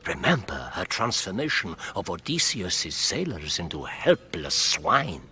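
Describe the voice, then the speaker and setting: An actor playing a worldly, insistent intellectual who moralises eloquently and pointedly in a radio drama. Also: male voice, low-pitched, big pitch swings, measured pace, bright, forceful tone, faint music behind, exaggerated voice. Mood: urgent warning. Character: worldly, insistent male Italian intellectual, elegant moralising mentor.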